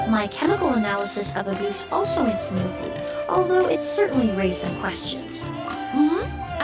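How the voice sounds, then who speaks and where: A woman voicing a composed, courteous young perfumer in a video game, speaking in a measured, precise way. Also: high voice, low-quality recording. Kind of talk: scripted video game voice acting. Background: background music.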